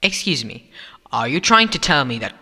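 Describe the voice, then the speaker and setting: A man's voice: mid-range voice, big pitch swings, moderate pace, pushed and bright, slightly rough, in a large hall, exaggerated voice. A man playing a stern, officious Time Lord coordinator in a sci-fi audio drama, with a pompous, formal, commanding manner.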